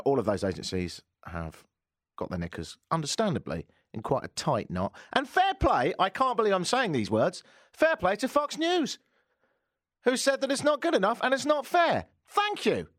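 A man hosting a talk radio show, sardonic and animated, joking and ranting about the news. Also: high-pitched, normal speed, no echo, clean studio sound. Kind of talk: opinionated talk radio chat. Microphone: studio microphone.

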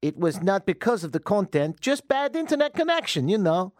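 A woman's voice: medium pitch, very animated, fairly quick, a little mellow, no echo, clean studio sound, voice pushed up, natural voice. A man doing a mock Icelandic accent for a young wife in a comic radio bit. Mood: breezy.

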